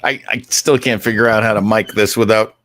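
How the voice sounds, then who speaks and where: A man hosting an old-time radio show casually, in a rambling, hesitant, animated way. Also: fairly low pitch, brisk, slight background noise. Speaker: show host.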